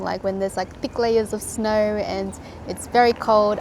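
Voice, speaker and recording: A woman's voice: fairly high pitch, noisy background. A woman travel vlogger talking casually to the camera, chatty and upbeat with light giggles. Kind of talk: casual vlog chat to camera. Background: background music.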